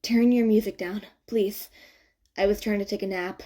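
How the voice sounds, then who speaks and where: A woman's voice: mid-range voice, fairly even intonation, normal speed, warm tone, close to an everyday voice. Woman voicing a grouchy, groggy young college guy. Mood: tired, irritated. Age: young adult. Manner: awkward, muttering, terse.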